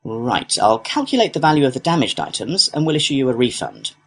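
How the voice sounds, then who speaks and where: A man acting as a courteous male customer-service clerk. His voice is husky and rises and falls a lot as he works helpfully through a scripted procedure. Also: medium pitch, very animated, fairly quick, a bit bright, exaggerated voice. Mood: courteous, businesslike. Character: courteous, helpful complaints clerk at an antiques company. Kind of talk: scripted listening-test dialogue.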